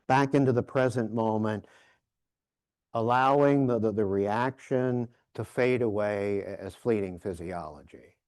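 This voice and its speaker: A man giving a reflective, informal conference lecture, halting and repeating words as he emphasizes key phrases. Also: lowish voice, darkish tone, clean tone, no room sound. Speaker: professor giving a keynote workshop.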